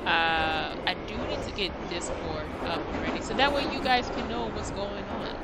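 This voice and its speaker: A woman's voice: medium pitch, normal speed. A young woman chatting casually on a live game stream, exclaiming often and leaning on fillers. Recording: loud background noise.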